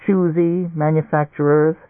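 A man voicing an aloof, highly evolved businessman in an audiobook story, speaking in a measured, probing way. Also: little pitch movement, dry recording, natural voice. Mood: cool, probing. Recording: tinny band-limited radio-like sound.

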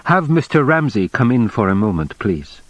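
A man reading a novel aloud, voicing a formal, measured middle-aged Japanese official who speaks with precise, deliberate courtesy. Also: mid-range voice, big pitch swings, no room sound, muffled recording, voice pushed up.

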